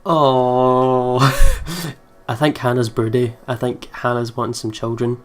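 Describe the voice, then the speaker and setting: A man's voice: medium pitch, little pitch movement, slow pace. A man hosting a let's play, reading game narration aloud and adding casual commentary. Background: game music.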